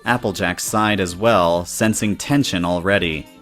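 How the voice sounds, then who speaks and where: Low-voiced male story narrator. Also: a little slow, a bit bright, faint music behind.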